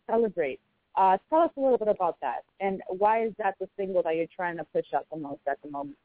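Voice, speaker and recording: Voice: medium pitch, animated, quick pace, no room sound. An interview host reading an intro and asking questions in a hesitant, filler-filled way. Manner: hesitant, many fillers and restarts. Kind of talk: casual interview. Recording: tinny dry phone line.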